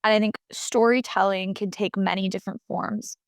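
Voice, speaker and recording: A woman's voice: mid-range voice, fairly even intonation, normal speed, no room sound. A woman in her twenties from the United States, answering interview questions thoughtfully, with many fillers and restarts. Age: 20s. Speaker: guest.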